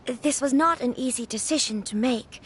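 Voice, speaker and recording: A woman playing a gentle, earnest young noblewoman in a video game, with polite, formal phrasing. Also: high-pitched, unhurried, slight background noise. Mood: earnest, resolute. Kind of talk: video game character acting. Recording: faint music behind the voice.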